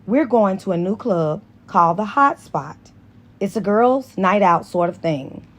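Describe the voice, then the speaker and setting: A woman voicing a confident, fun-loving young female teacher in an audiobook, in a lively, assertive way. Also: medium pitch, a little slow. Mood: placating. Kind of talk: dramatized audiobook dialogue.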